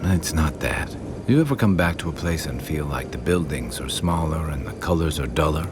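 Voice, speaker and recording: Very low-voiced fantasy game companion: seasoned sailor, musing and plain-spoken, over noticeable background noise. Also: male voice, expressive, moderate pace. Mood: brooding. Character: weary, reflective seafaring companion man in a fantasy game. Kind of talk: video game dialogue acting.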